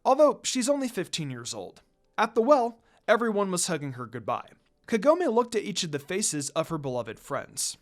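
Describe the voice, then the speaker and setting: A man reading fan fiction aloud as narrator, in a dramatic scripted storytelling style. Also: fairly high pitch.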